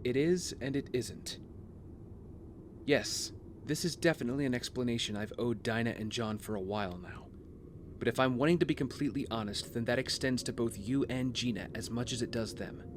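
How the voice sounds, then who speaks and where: A man acting a brooding, guilt-ridden young vigilante hero in an audio drama, speaking in an earnest, measured, confessional way. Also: medium pitch, noisy background, natural voice. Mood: contrite. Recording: music bed under the voice.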